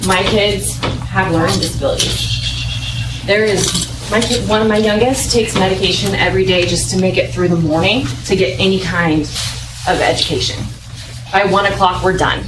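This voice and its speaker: A woman, a parent, making a firm and emphatic public comment at a school board meeting. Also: lowish voice. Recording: echoey noisy room from far off.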